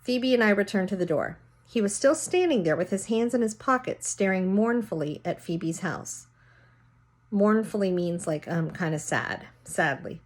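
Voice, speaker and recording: A woman's voice: medium pitch, animated, normal speed. A woman reading a children's story aloud, narrating and casually explaining words as she goes.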